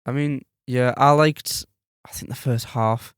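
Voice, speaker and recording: A man talking informally as a panelist in a relaxed podcast film discussion. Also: mid-range voice, a little slow, smooth, no echo, studio quality. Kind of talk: casual chat.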